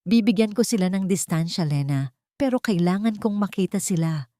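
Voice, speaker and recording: A woman's voice: lowish voice, animated, normal speed, mellow, no room sound, close to an everyday voice. A woman voicing an earnest, protective father in a story, speaking insistently and directly. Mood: pleading. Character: earnest, protective adult man, a repentant father.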